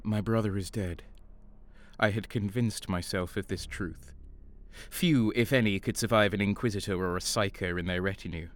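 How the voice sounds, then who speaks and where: An actor playing a sadistic renegade guardsman, narrating in the first person in a brooding, deliberate way. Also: on the low side, steady tone, close to an everyday voice. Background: music.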